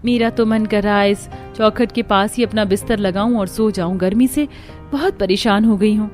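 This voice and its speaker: Casting: female narrator as dutiful, expressive young bride, cartoon family tale, mid pitch, faint music. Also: normal speed, natural voice. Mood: complaining. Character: earnest dutiful young daughters-in-law from poor family.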